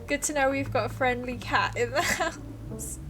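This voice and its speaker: A woman livestreamer giving casual, expressive, giggly game commentary and reading the game's text aloud. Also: sits high, unhurried, soft background music. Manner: giggly, expressive, reacts out loud.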